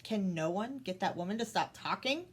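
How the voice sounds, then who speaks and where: A woman voicing an exasperated, practical and commanding king in a loud, forceful storybook voice. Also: mid-range voice, lively intonation, normal speed, husky.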